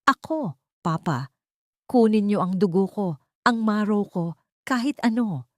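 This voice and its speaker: A woman's voice: medium pitch, animated, unhurried, darkish tone, no room sound, clean studio sound, voice pushed up, lightly acted. A woman voicing an anguished, desperate mother, proud but pleading, in an audio story. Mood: urgent hope.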